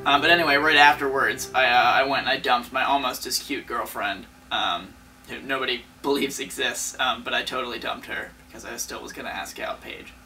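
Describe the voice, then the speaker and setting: A man's voice: mid-range voice, fairly quick, gravelly, bright, forceful tone, far from the mic, soft background music, pitched below the performer's own voice, low-quality recording. A man doing a mock dramatic reading as a lovesick, self-serious teenage boy, hesitant and melodramatically earnest. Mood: earnest, brooding.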